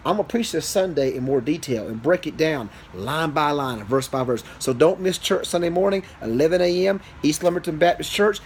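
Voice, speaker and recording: A man, a preacher and father, telling an improvised Bible story to a child in an animated, interactive way. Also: a little high. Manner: animated, interactive, checks on listener with questions. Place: small office in a house. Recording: noisy amateur home recording.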